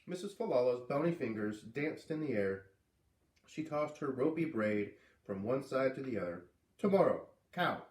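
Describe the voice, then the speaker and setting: A man reading a children's book aloud, expressively, with dramatic pauses on key words. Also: medium pitch, expressive, a little mellow. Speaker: read-aloud reader. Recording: echoey room, distant microphone.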